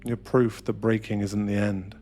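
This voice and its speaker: A man narrating a scripted ASMR guided meditation in a hushed, deliberate, intimate and dramatic manner. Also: lowish voice, flat delivery. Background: music.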